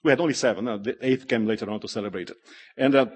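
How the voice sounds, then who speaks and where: A middle-aged man whose first language is Portuguese gives a public talk as an animated, joking storyteller. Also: medium pitch, rapid-fire, husky. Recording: long hall reverb.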